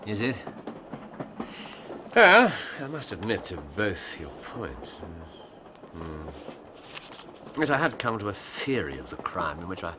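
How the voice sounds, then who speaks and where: A probing male detective, low voice, very lively pitch, noisy recording. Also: slow, long pauses, full character voice. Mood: puzzled, thoughtful. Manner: questioning, dry, thinks aloud. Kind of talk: radio drama acting. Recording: audible background noise.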